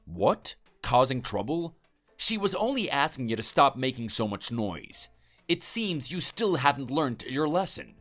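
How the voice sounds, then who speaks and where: A man's voice: medium pitch, very animated, measured pace, a bit bright, soft background music. A man playing a stern, threatening husband who lectures and intimidates his neighbor. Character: stern, menacing husband defending his wife.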